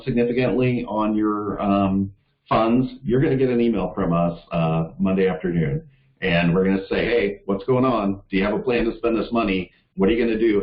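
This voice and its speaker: A man's voice: lowish voice, steady tone, normal speed. A man presenting on a conference call, talking informally with many fillers and earnestly urging his listeners to act. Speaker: staff presenter for a league of cities. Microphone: call audio. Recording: echoey, dull call audio.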